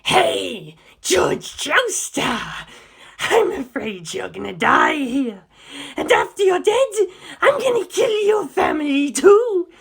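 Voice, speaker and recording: A man voicing a small, murderous gremlin in an audiobook, with a shrill voice and a taunting, menacing manner. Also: big pitch swings, creaky, a bit bright, heavily acted. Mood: gleeful menace. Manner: taunting, menacing, drawn-out delivery. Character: cackling, fanged little gremlin monster, gleefully murderous.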